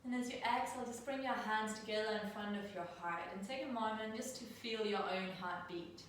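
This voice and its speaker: A middle-aged woman from Denmark leading a guided yoga class over a live video call, speaking in a soft, soothing way with slow, drawn-out cues. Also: mid-range voice. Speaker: yoga teacher. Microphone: laptop mic at a distance. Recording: very echoey room, distant mic, faint noise.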